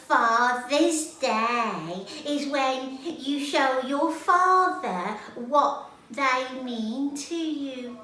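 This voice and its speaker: Woman voicing a slow, high-pitched little girl puppet. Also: lively intonation, pushed and bright, roomy sound, recorded from a distance, heavily acted. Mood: earnest. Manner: slow, deliberate, childlike delivery. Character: sweet, earnest young Sikh girl puppet. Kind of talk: children's puppet show dialogue.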